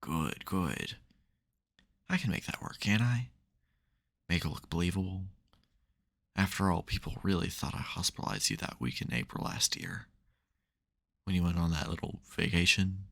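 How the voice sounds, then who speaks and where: A male supervillain as acted by a man speaks in a very deep voice with heavy fry. He teases dryly and grumbles, yet stumbles into flustered softness. It is an intimate ASMR audio drama performance. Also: sing-song, normal speed, long pauses, full character voice. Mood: wry, scheming. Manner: dry teasing, hesitant, mock-grudging. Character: supervillain nemesis, secretly soft, teasing and flustered.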